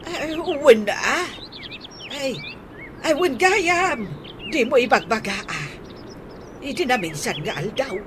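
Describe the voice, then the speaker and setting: A woman playing a nosy village neighbour in an Ilocano comedy radio drama, with drawn-out exclamations. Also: sits high, lively intonation. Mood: agreeing. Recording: noisy track with chirping birds.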